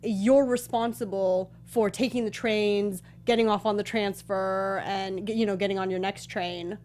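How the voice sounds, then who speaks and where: Female co-host, medium pitch, conversational and informal video game discussion. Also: steady tone, moderate pace, a little mellow. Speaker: talk show co-host. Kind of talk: casual chat about video games.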